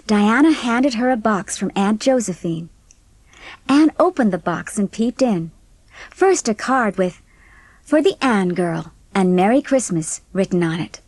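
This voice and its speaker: A woman reads storybook narration at a middle pitch. Her delivery is expressive and lively in melody, and the sound is dry, free of room echo. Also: a little slow. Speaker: audiobook narrator.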